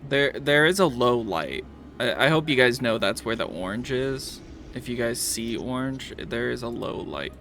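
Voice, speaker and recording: A man narrating a tabletop game as game master in a casual, halting way, often repeating words as he explains. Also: medium pitch, animated, pushed and bright, soft background music.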